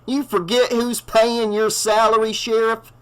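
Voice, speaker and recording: A man voicing a brash small-town townie with loud outbursts. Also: high voice, level tone, measured pace, clear, resonant tone, voice pushed up, rough recording. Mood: shocked. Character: shocked, mouthy small-town male townie.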